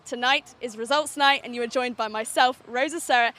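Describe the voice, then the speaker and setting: A woman's voice: fairly high pitch, brisk, sharp tone. A woman presenting a live student election results show in an upbeat, scripted way.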